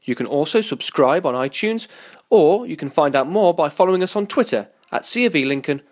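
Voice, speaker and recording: Male announcer, slightly high pitch, animated melody, for scripted podcast intros and outros. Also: a little fast.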